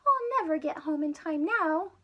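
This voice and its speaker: A woman's voice: very high-pitched, expressive, normal speed, highs cut off, pitched above the performer's own voice. A woman voicing a plucky, easily flustered boy adventurer in a children's storybook, exclaiming and fretting. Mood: fretful. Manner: exclamatory, fretful. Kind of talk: children's storybook read-aloud.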